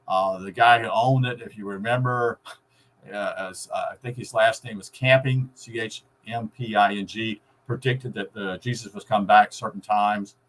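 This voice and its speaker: Halting, low-voiced man explaining informally. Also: steady tone, a bit bright, low-quality recording. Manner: halting, rambling, often searching for words. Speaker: video host explaining shortwave radio. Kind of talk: unscripted informal explanatory talk. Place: at home.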